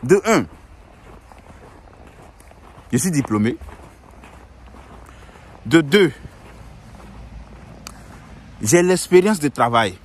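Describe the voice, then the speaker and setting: A man's voice: a little high, sing-song, takes it slowly, pauses often, breathy edge. A young man giving earnest, repetitive advice in a casual monologue, often restarting his sentences.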